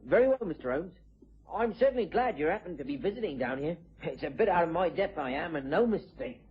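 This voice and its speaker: A man's voice: on the high side, animated, fairly quick, background noise, natural voice. An actor playing a deferential, plain-spoken country police sergeant who is out of his depth, in a vintage radio drama. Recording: muffled old radio drama recording.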